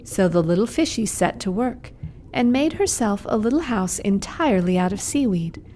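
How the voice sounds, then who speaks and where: A woman narrates a children's puppet show as storyteller. Her mid-pitched voice is measured and expressive, with faint background noise.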